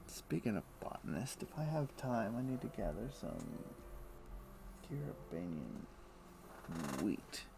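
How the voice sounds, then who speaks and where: Gravelly man streaming a game. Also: lowish voice, takes it slowly, background noise. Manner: relaxed, thinking aloud to himself. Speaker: live streamer. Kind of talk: casual gameplay commentary. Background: background music.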